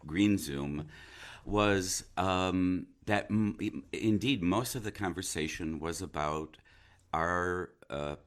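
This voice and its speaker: Male actor in casual conversation, very low voice, slow and halting, with false starts and reflective delivery. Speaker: stage and radio actor.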